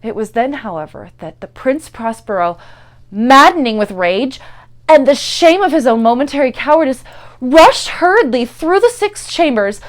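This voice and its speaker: A woman giving a dramatic, theatrical narration of a gothic horror story, moving between hushed and intense delivery. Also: high voice. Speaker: actor and performer reading a story.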